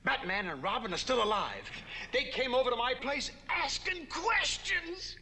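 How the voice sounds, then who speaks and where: A man's voice: extremely high pitch, animated, moderate pace. An actor playing a nervous, giggly crooked salesman in a campy 1960s TV show, hesitant and theatrical. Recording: old TV clip, loud music.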